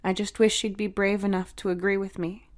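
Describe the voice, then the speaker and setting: A woman voicing a supportive young sister in a storybook, soft-spoken, reassuring and a little teasing. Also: mid-range voice, level tone, fairly quick, close to an everyday voice. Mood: gentle, sincere. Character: supportive older sister, young, playful and tender. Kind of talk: dramatic audiobook character dialogue. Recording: music behind the voice.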